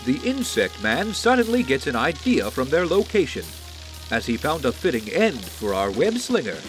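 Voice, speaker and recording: A man's voice: medium pitch, very animated, moderate pace, a bit bright, soft background music. A man narrating a comic-book audio drama in a theatrical, announcer-like way.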